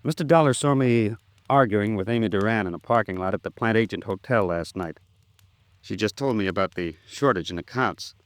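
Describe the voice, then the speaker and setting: A man as a hesitant, lowish-voiced company man. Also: no echo, lightly acted. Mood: awkwardly grateful. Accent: American. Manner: hesitant, halting, courteous. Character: polite, uneasy male company man hiding guilt. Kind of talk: 1950s radio drama acting.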